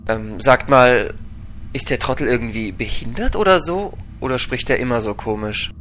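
An actor playing a blunt, chatty gnome mage in a fantasy parody audio drama. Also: male voice, mid-range voice, expressive, normal speed, a bit bright, lightly acted.

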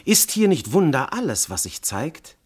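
An actor playing a commanding, seductive god posing as a noble husband, declaiming classical radio verse in a theatrical, grandiose manner. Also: male voice, on the high side, big pitch swings, normal speed, breathy edge. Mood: ardent persuasion. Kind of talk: classical German stage verse in a radio play.

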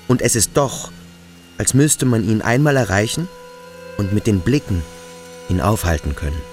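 Brooding schoolboy, very low voice. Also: male voice, sing-song, moderate pace, darkish tone, soft background music. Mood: awed, searching. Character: brooding, troubled adolescent schoolboy thinking aloud. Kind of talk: literary radio play inner monologue.

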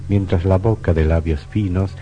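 A man's voice: deep voice, fairly even intonation, moderate pace. A man narrating a scripted horror radio drama in a solemn, dramatic style. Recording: dull lo-fi radio recording. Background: faint music.

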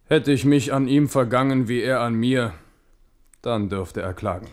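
A man's voice: medium pitch, steady tone, measured pace, lightly acted. An actor playing a proud, careworn French king in a German radio play, declamatory, commanding and ironic. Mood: accusing.

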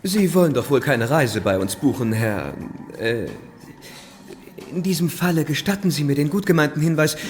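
A man's voice: on the high side, expressive, normal speed, a little mellow, natural voice. A man playing a condescending travel agency clerk in a radio drama, pompous, officious and stiffly formal.

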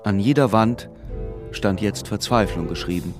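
A man's voice: fairly low pitch, a little slow, over music, lightly acted. A man narrating a bleak literary radio drama in a somber manner.

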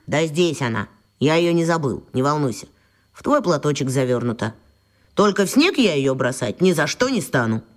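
A woman's voice: deep voice, very animated, moderate pace. A woman playing a brash, touchy schoolboy in a children's radio drama. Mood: reassuring. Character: brash, touchy schoolboy around ten years old.

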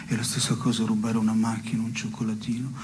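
An actor playing a wry, self-satisfied petty-theft philosopher in absurdist theatre, with a deliberate, mock-solemn delivery. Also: male voice, on the low side, completely flat delivery, darkish tone, slight background noise, natural voice. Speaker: stage actor. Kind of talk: scripted absurdist theatre dialogue.

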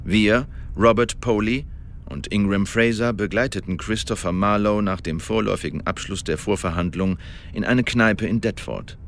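Radio drama lead: Elizabethan agent making a formal report, lowish voice, precise, measured, pedantic. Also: male voice, gravelly, a little hiss or hum. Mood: careful, matter-of-fact. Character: Elizabethan male secret agent giving a careful official report. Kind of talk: radio drama monologue, testimony.

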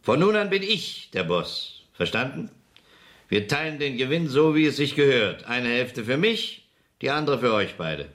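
A smug gangster boss in a radio crime play. His mid-pitched voice swings widely as he threatens, mocks and gives orders with cool authority. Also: very animated, a little slow, a bit bright. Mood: cold, domineering. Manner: coolly threatening, mocking, commanding. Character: menacing, smug male gangster boss extorting partners. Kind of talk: 1970s German radio crime drama acting.

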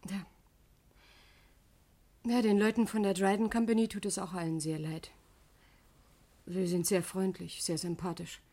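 An aggrieved widow in a 1960s radio crime play. Her voice sits at a medium pitch. She speaks with feeling, hesitant at moments yet stubbornly insistent. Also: level tone, measured pace, pauses often, dark tone. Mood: subdued, bitter. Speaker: radio drama actor. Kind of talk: 1960s German radio crime drama acting.

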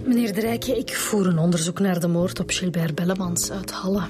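An actress with a Flemish accent playing a persistent, businesslike police inspector in a naturalistic radio drama, polite but firm. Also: female voice, fairly low pitch, brisk, over music, lightly acted.

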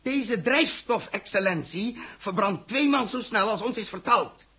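Een acteur die in een hoorspel uit de jaren zestig een felle, verontwaardigde ruimtekapitein speelt, gepassioneerd en beschuldigend. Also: male voice, high voice, animated, measured pace, bright, forceful tone, slightly rough, exaggerated voice. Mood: urgent, demanding. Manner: impassioned, accusatory, rhetorical. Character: fiery, indignant male space captain confronting the government. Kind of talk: 1960s Dutch radio drama acting.

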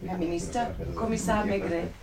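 A woman's voice: mid-range voice, steady tone, moderate pace, creaky, crowd noise, natural voice. A woman playing a devoted, anxious secretary in a 1950s German radio drama, speaking politely and earnestly. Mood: worried, hopeful.

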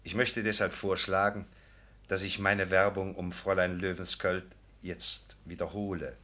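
Wealthy gentleman suitor, radio play. Low-pitched, crisp-edged forcefulness, polite, formal manner. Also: level tone, a little slow. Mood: polite, earnest. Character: courteous wealthy ironworks owner, self-deprecating gentleman suitor. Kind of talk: German radio play acting.

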